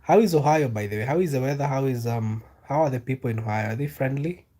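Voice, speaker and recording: A man's voice: mid-range voice, warm tone. A young man from Kenya chatting casually on a live stream, reading out comments and asking viewers questions, with lots of ums and repeats. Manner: loose and unhurried, many fillers and repeated questions. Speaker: livestreamer reading chat.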